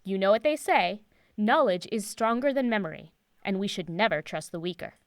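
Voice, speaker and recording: A woman playing a self-assured, cryptic professor in an audio drama, speaking in a measured, guarded, teacherly way. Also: medium pitch, animated, no echo, clean studio sound, natural voice. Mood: patient, instructive.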